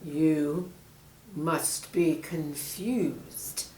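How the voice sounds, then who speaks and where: A woman voicing a sly, menacing male snake in a storybook, falsely caring, with a hushed, breathy hiss and drawn-out delivery. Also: deep voice, roomy sound, recorded from a distance. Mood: dismissive. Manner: slow, drawn-out, sinister delivery.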